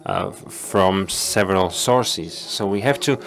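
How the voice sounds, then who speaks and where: A man moderating a panel discussion, speaking in a measured, halting way. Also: low-pitched, gravelly, fairly bright tone.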